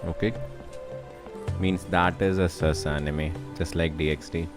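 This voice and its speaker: A man's voice: low voice, fairly even intonation, a little slow. A young man with an Indian accent chatting casually as he livestreams a game. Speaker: game streamer. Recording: game music behind the voice. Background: video game music.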